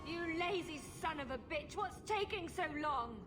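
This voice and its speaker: A woman's voice: very high-pitched, expressive, normal speed, music in the background. An actress playing a spoiled, drunken young noblewoman in a fantasy video game, petulant and imperious.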